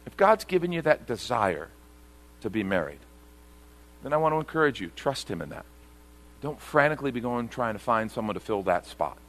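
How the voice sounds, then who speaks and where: A man's voice: medium pitch, fairly bright tone. A man pastor preaching a sermon to a congregation, earnest and repeating key phrases for emphasis.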